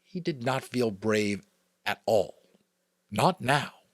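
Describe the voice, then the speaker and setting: A middle-aged American man reading a novel aloud theatrically, with hushed asides and casual commentary. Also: medium pitch, expressive, slow, dry recording, studio quality. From United States. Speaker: audiobook reader and host.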